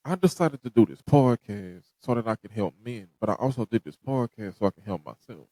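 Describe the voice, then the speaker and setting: A man's voice: on the low side, animated, brisk, warm tone, no echo, rough recording. A man hosting a podcast, giving a direct, motivational talk that repeats its points. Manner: preachy, direct, repeats himself. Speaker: podcast host.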